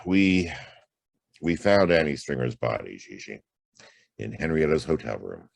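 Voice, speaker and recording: An actor playing a world-weary hard-boiled private detective in a noir radio drama, laconic and wry, with a gravelly voice. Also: male voice, extremely low pitch, lively intonation, measured pace, no echo, full character voice. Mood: grim, resigned. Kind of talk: noir radio drama acting and first-person narration.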